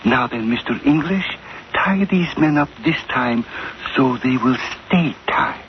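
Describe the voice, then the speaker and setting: An actor playing a cold, commanding villain in 1940s radio style, giving deliberate, formally phrased orders. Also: male voice, medium pitch, expressive, close to an everyday voice. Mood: cold menace. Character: menacing male villain Abed, cold and commanding. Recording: muffled, hissy vintage radio recording.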